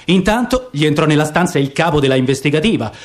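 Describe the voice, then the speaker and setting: A man giving a theatrical, lively dramatic reading of dialect poetry and prose. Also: a little high, fast. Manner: theatrical, lively, savoring the words. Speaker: narrator.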